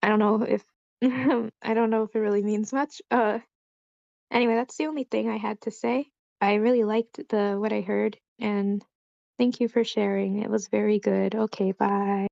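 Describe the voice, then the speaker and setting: A woman's voice: medium pitch, fairly even intonation, normal speed, creaky, darkish tone, dry recording, lo-fi sound. A woman giving casual, tentative, self-deprecating feedback in an online group discussion. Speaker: table read participant giving feedback. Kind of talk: casual spoken critique.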